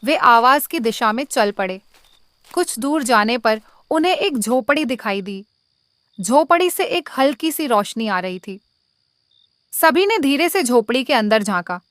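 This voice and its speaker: A woman's voice: fairly high pitch, lively intonation, a little slow, fairly bright tone, dry recording. A woman reading a scripted moral tale as a storyteller.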